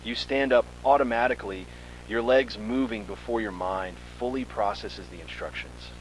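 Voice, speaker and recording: A man's voice: lowish voice, moderate pace, slight background noise, rough recording. A man reading a scripted second-person horror story in a dramatic, suspenseful manner.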